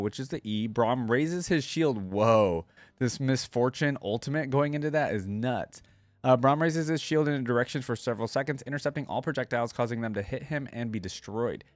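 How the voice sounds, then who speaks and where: A man's voice: medium pitch, no room sound. A man hosting a reaction video with informal, easily impressed commentary, reading things out and reacting as he goes.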